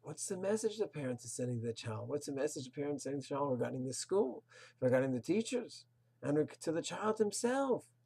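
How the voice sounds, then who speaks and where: A rabbi delivers a Torah lesson in a husky, slightly breathy voice. His tone is dark and mellow, earnest and moralizing, and he leans on rhetorical questions and Hebrew terms. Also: fairly high pitch, big pitch swings, fairly quick, low-quality recording. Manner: sprinkles Hebrew and Yiddish terms. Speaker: rabbi teaching a Torah class. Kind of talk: recorded religious lecture.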